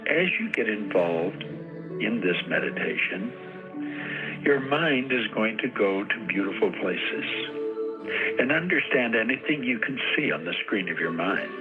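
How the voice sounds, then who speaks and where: A man's voice: lowish voice, expressive, unhurried, narrow, tinny audio, music in the background. A man leading a guided meditation, speaking in a soothing, repetitive, hypnotic way.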